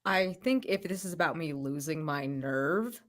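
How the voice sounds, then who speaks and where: Female player, low-ish voice, slow pace, lively swooping pitch.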